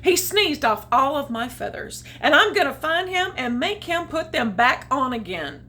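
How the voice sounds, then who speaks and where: A woman's voice: sits high, very animated, normal speed, bright, forceful tone, voice pushed up, full character voice. A woman voicing an indignant, featherless pink goose in a storybook reading. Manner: squawking, indignant.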